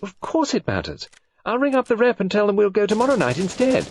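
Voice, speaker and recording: A man's voice: sits high, sing-song, a little fast, a little hiss or hum, pitched above the performer's own voice. A man voicing an eager, gushing, apologetic younger man in an audiobook. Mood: anxious pleading.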